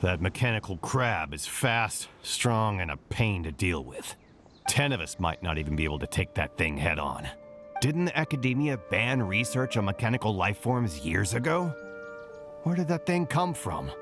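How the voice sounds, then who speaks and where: A put-upon guard in a fantasy game, very deep-voiced. He grumbles wearily with big swings in tone, over music. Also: sing-song, normal speed. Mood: exasperated. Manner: complaining, emphatic. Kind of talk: video game voice acting. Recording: music playing behind the voice.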